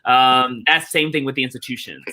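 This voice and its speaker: A man giving reflective interview answers as a theatre director, earnest and deliberate, repeating phrases rhythmically for emphasis. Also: medium pitch, pushed and bright.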